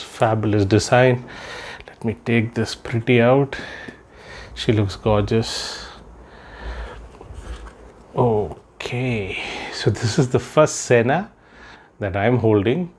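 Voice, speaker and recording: Slow, chatty man unboxing gear. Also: mid-range voice, slight background noise. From India. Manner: chatty, thinks aloud, many fillers. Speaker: unboxing vlogger. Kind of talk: casual unboxing commentary. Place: indoors, room with patterned floor rug.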